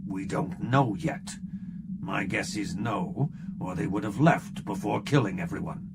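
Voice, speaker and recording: A man's voice: on the low side, a little slow, vocal fry. A man voicing a stern, coldly logical male shapeshifter security chief who lectures in clipped deductions. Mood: lecturing. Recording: loud background noise.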